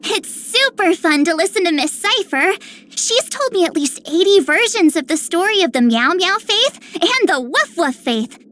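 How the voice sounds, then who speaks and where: Very high, sweet girlish game heroine. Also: very animated, normal speed, fairly bright tone, full character voice. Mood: bubbly, eager. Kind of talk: video game character voice lines.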